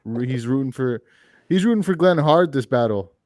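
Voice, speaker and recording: A man co-hosting a livestream over a video call, reading viewer comments in a flat, dry way. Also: mid-range voice, normal speed, no room sound. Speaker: livestream co-host. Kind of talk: casual chat, reading comments.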